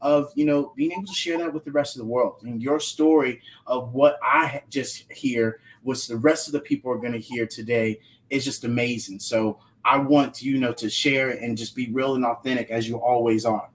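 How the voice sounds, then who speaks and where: A man's voice: medium pitch, fairly even intonation, moderate pace, few pauses, poor audio. A man co-hosting a live podcast in an emphatic hype style, repeating phrases for effect. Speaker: podcast co-host.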